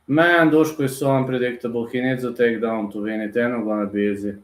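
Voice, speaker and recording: A man's voice: medium pitch, steady tone, brisk. Blunt man in a roomy echo. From Bulgaria. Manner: direct, blunt, addresses viewers as guys, non-native phrasing. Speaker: livestream host. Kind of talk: casual livestream chat, reading and answering viewer comments.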